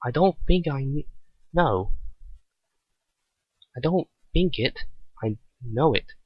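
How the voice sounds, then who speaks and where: A man's voice: mid-range voice, lively intonation, takes it slowly, breathy edge, dry recording, highs cut off. Man reading as an assured, clinical doctor. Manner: assured, explanatory. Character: confident, clinical doctor explaining an injury.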